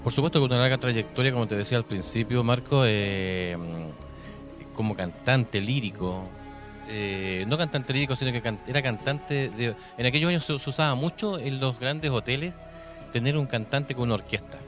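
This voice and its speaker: A man's voice: mid-range voice, moderate pace. A man with a Chilean accent hosting a conversational radio interview. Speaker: radio host. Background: music.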